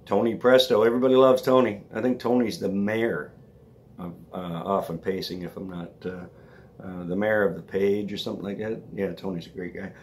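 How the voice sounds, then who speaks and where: Man, a bit raspy, low-pitched, chatty vlog host, rambling, keeps asking "all right?". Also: darkish tone, a little hiss or hum. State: slightly raspy voice from allergies. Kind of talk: casual talk to camera.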